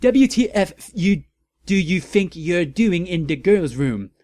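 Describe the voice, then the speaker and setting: Man putting on a high, indignant goth girl. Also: very animated, slow pace, darkish tone, exaggerated voice. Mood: outraged. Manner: indignant, overwrought. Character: hot-tempered, melodramatic gothic teenage girl.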